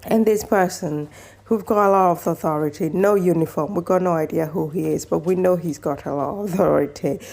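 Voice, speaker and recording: Low, mellow-voiced chatty woman from Kenya. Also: brisk, gravelly. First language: mother tongue other than English, also Swahili. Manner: chatty, rambling with restarts and asides. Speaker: vlogger. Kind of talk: casual storytelling to camera.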